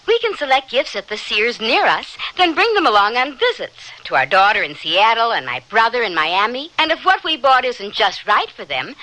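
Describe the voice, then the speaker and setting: A woman's voice: on the high side, pushed and bright, rough recording. A woman delivering a scripted testimonial as the spokesperson in a radio commercial.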